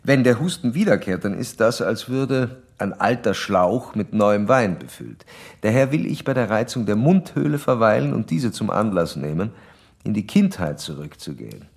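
An actor playing a self-assured psychoanalyst in a radio drama, speaking in measured, formal, didactic sentences. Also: male voice, fairly low pitch, animated, creaky, breathy edge, heavily acted. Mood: clinical, probing. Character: male psychoanalyst doctor, clinical, probing, self-assured. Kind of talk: radio drama dialogue and letter monologue.

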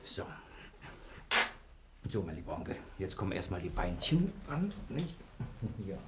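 An actor playing a desperate, sly but fond nephew on the run in a radio play, muttering to himself in agitation. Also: male voice, low voice, very animated, measured pace, very noisy. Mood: mock-tender, regretful. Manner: agitated, muttering, mock-tender.

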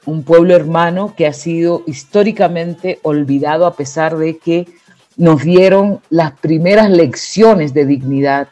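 A woman from the Dominican Republic with a Dominican accent, explaining her views as an interview guest in a deliberate, reflective way, stressing key words. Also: low-pitched, mellow.

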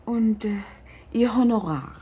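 An actress playing a worried, wealthy middle-aged mother, husky and breathy, formal and reserved, in a naturalistic radio drama. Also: a little high, measured pace, dark tone. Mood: cool, formal.

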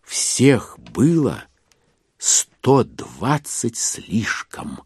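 A man narrating a literary audio drama as a measured, scripted storyteller. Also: medium pitch, sing-song, darkish tone, breathy edge, dry recording, full character voice. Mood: measured, wry.

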